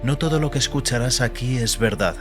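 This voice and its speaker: A man narrating an audio story in a scripted, dramatic style with a measured, solemn storyteller's delivery. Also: mid-range voice. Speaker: narrator and host of an audio fiction show. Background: background music.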